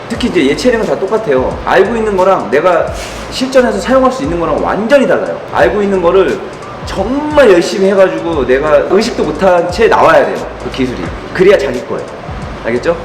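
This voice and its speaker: A man's voice: a little high, noisy background. A male boxing trainer leading a group class in a gym, firmly coaching and calling out counts. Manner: firm, coaching, calls out counts. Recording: echoey gym with loud music.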